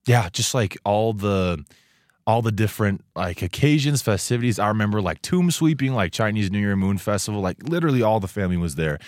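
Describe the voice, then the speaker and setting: A man of twenty-one from Taiwan, a student guest chatting casually in a radio studio interview, relaxed and talkative with many fillers and restarts. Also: fairly low pitch, a little fast, no echo.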